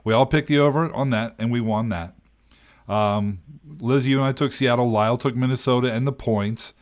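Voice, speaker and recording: A man's voice: mid-range voice, moderate pace. A man in his forties co-hosting a podcast, matter-of-fact and ribbing in a casual sports chat. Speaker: podcast co-host.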